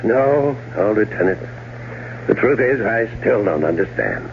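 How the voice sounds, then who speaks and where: Low-voiced, smooth grieving widower. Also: male voice, wildly swooping pitch, full character voice. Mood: polite, flustered. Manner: halting, polite, measured. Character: outwardly grieving, smooth widower husband, evasive and calculating. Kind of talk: 1970s radio drama acting. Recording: muffled old radio broadcast with hum.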